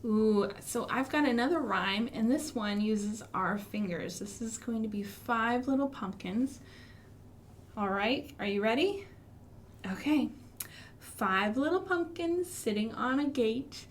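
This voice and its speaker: American woman, storytime host for small children. Fairly high voice, expressive, encouraging, slight background noise. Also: unhurried. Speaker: children's librarian hosting storytime. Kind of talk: interactive children's storytime with songs and finger rhymes. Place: live online stream for a library.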